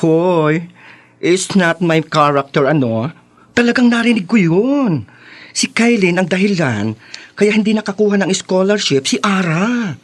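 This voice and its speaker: A man's voice: sits high, a little slow. An actor, a man with a Filipino accent, voicing a gossipy, flamboyant and playfully indignant young student in a radio drama. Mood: sassy. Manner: Taglish, sassy gossiping.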